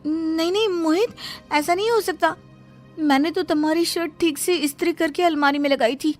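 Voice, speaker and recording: Woman playing young wife, cartoon moral story, defiant, sharp-tongued, argumentative, high pitch, faint music. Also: moderate pace. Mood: defensive. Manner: argumentative, insistent.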